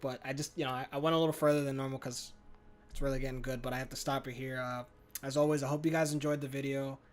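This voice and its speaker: A man's voice: medium pitch, little pitch movement, fast talker. A man reading a visual novel's narration aloud as a gaming host, plainly and correcting his own slips. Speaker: gaming YouTuber.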